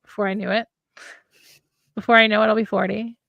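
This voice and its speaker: A woman's voice: medium pitch, flat delivery, a little fast, creaky, no echo, highs cut off. A woman in her late thirties chatting casually as a live stream co-host, reflective and rambling as she rephrases herself. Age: late 30s. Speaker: writer co-hosting a live stream. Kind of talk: casual chat.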